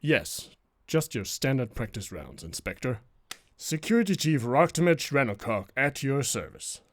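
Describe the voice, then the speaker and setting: A man voicing a composed, wry, dutiful security chief in an animated comic dub. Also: medium pitch, moderate pace, dry recording, clean studio sound, lightly acted. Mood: composed, polite. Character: gruff but easygoing adult security chief, composed. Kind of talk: animated fandub voice acting.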